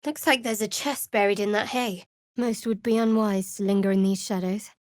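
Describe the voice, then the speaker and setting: A woman playing a guarded, sardonic young cleric of a dark goddess in a video game, speaking in a dry, wry manner. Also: mid-range voice, steady tone, moderate pace, no room sound, natural voice. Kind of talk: video game character voice lines. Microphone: close studio microphone.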